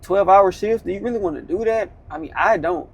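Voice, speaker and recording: A man's voice: high-pitched, a little fast, breathy edge. A man in his twenties, a truck driver, giving a loose, slangy motivational talk from inside his truck cab. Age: 20s. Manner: loose, conversational, slangy, repeats himself.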